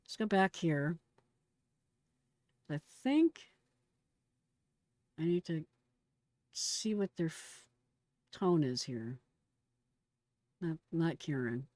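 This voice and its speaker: A woman's voice: deep voice, animated, very slow, long pauses, darkish tone, dry recording, studio quality. A middle-aged American woman giving casual, blunt and exclamatory reaction commentary in slangy speech. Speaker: retired emergency dispatcher reacting to a call recording.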